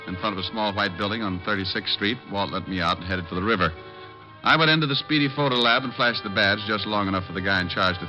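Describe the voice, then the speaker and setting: An actor playing a breezy, wry private detective who narrates and wisecracks in an old radio drama style. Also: male voice, on the low side, close to an everyday voice. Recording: muffled old radio recording.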